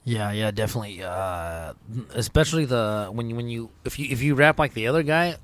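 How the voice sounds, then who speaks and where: Man, host, casual panel review, mid-pitched, loose, swears, laughs. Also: animated, fairly quick, a bit bright. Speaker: show host.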